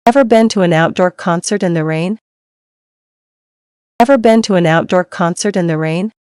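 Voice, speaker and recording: A woman reads short English dialogue lines for learners, each one twice, slow and distinct. Her voice sits low and sounds smooth and resonant. The recording is close and dry. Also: darkish tone, dry recording. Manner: slow, clear, each line read twice. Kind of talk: scripted dialogue reading for learners.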